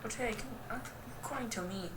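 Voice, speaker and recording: A young woman giving casual, rambling game commentary in a self-deprecating, goofy and halting way. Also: fairly high pitch. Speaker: gaming video host. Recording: roomy, distant mic with clear noise.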